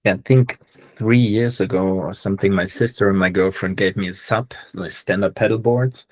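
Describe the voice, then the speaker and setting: A man from Germany chatting on a video call before giving his session, in relaxed, friendly small talk. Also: deep voice, moderate pace, no echo. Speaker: speaker of the session. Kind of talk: casual chat on a video call.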